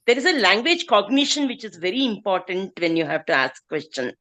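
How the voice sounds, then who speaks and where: A woman's voice: mid-range voice, big pitch swings, brisk, fairly bright tone. A woman taking part in a discussion with a lecturer, thoughtful and affirming.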